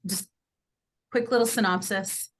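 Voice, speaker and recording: A woman's voice: medium pitch, steady tone, a little slow. A middle-aged woman from the US Midwest, a real estate agent introducing herself on a podcast in a friendly, upbeat way.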